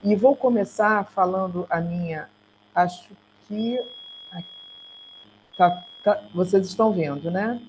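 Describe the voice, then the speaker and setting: A Brazilian woman presents slides over an online call with faint noise and hum. Her voice is mid-pitched. She has a Brazilian Portuguese accent and a halting delivery, often correcting herself. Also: low-quality recording. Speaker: research centre coordinator giving a talk.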